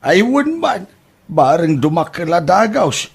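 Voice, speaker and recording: Man acting a husky, slow-talking older village uncle. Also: sits high, very animated, heavily acted. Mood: chatty, joking. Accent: Ilocano. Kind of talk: comedy radio-style drama acting.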